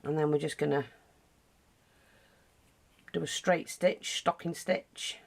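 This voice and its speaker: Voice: mid-range voice, steady tone, slow, gravelly. A craft teacher with a British accent, nose bunged up, chatting casually through a tutorial with frequent asides. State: blocked nose.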